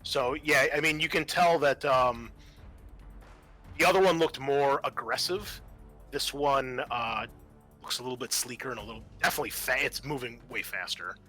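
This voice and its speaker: A man's voice: medium pitch, normal speed, pushed and bright. A man narrating a tabletop roleplaying game as game master, explaining off the cuff with some hesitation. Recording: faint music behind the voice.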